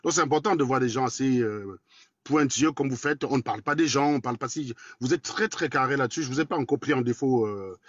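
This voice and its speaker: A man's voice: mid-range voice, a bit bright, no echo, muffled recording. A man in his forties with a Cameroonian accent, speaking bluntly and crudely in a casual live chat. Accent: Cameroonian French. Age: 40s. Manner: blunt, crude and combative, quick and talkative. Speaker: guest in a live debate.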